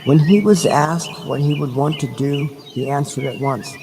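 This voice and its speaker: A man reading a novel aloud as a narrator, in a measured, dramatic audiobook style with deliberate pauses between phrases. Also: on the high side, background noise.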